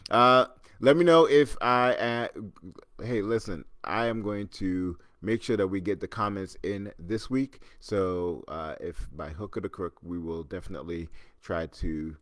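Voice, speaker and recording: A man's voice: low-pitched, unhurried, fairly bright tone, no room sound, clean studio sound. A middle-aged man from Trinidad hosting a casual livestream chat from his home, rambling with lots of restarts and reading viewer comments as they come in. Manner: rambling, chatty, many restarts and fillers, reads comments aloud. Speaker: host. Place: home in New York City.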